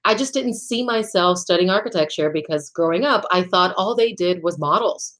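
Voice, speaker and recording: A middle-aged woman from Puerto Rico speaks in a fairly low voice, answering questions with thought and care. She often restarts her phrases and laughs lightly between ideas. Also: animated, moderate pace, fairly bright tone. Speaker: guest architect. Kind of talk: conversational interview answers.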